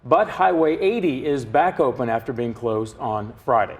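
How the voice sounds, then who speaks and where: A man's voice: mid-range voice, moderate pace. A man anchoring a television newscast, reading scripted stories. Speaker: TV news anchor.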